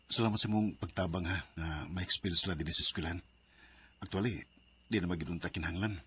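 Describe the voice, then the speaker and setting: A man plays a quiet, humble male student in a Filipino radio drama. He speaks low and hesitantly with a Cebuano accent, over clear background noise. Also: fairly even intonation, quick pace. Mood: humble, grateful. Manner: hesitant, soft-spoken.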